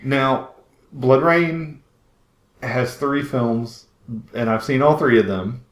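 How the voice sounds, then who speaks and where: A man's voice: mid-range voice, slow. A man co-hosting a podcast, chatting casually and in a rambling way about films. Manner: rambling, uses 'like' often. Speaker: podcast co-host. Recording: roomy, echoing voice pickup.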